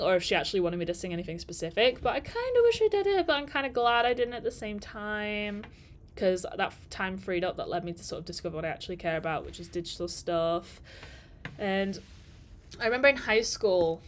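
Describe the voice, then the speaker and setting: A woman in her twenties, chatting and telling stories on a live work stream, rambling and laughing between thoughts. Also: medium pitch. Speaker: digital marketer vlogger. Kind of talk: casual storytelling during a live work stream.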